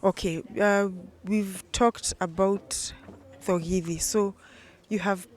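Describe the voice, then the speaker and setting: A woman with a Kenyan accent hosts for an online news channel. She presents and puts questions in an interviewer's style, at a mid-range pitch. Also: steady tone, slow.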